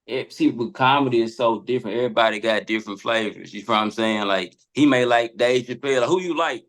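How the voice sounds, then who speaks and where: A man's voice: mid-range voice, fast talker, a bit bright. A man chatting casually as a podcast guest, often checking that listeners follow. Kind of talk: casual chat.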